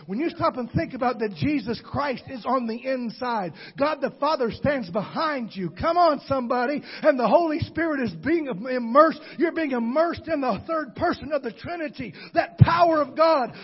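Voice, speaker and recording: A man preaching a sermon, shouting with call-and-response to the congregation. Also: very high voice, dull audio. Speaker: pastor. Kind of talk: Pentecostal sermon.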